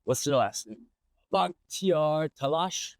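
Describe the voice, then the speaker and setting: A man hosting a casual podcast interview, relaxed and rambling, with lots of fillers and restarts. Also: on the high side, takes it slowly, dry recording. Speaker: podcast host. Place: outdoors in an old town district.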